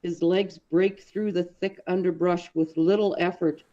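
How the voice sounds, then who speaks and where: A woman reading a story aloud as an audiobook, with expressive, dramatic and deliberate phrasing. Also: on the low side, highs cut off. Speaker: audiobook reader. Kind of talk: expressive scripted story narration.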